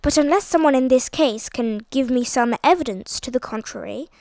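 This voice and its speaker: A woman reading an audiobook, voicing a male police inspector who speaks calmly and in a measured way. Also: high voice, dry recording. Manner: calm and measured.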